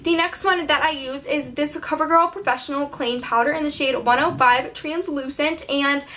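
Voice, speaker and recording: High-voiced chatty teen girl vlogger. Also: normal speed, sharp tone, slight background noise. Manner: chatty, many fillers, self-mocking asides. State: slightly hoarse, losing her voice. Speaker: beauty vlogger. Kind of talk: casual makeup tutorial chat.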